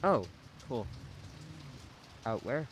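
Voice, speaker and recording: A man's voice: medium pitch, sing-song, very slow, a little hiss or hum. A young man playing an easygoing, awkwardly friendly teenage boy neighbor in a radio play.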